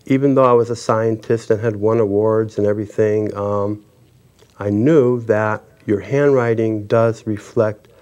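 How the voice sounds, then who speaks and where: Man, middle-aged, interview host, low voice, halting storytelling. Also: a little mellow. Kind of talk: interview conversation.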